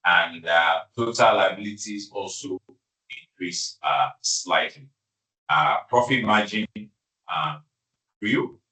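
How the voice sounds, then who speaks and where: A man, speaking as an executive presenting financial results in a formal, halting, measured way. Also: deep voice, bright, forceful tone. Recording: distant, echoey conference call audio.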